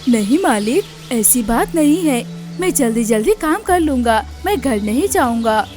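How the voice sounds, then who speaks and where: A woman's voice: a little high, animated, measured pace, darkish tone. A woman voicing a poor, devoted father bird, earnest and reassuring, in a Hindi cartoon story. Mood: earnest, worried. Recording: music behind the voice.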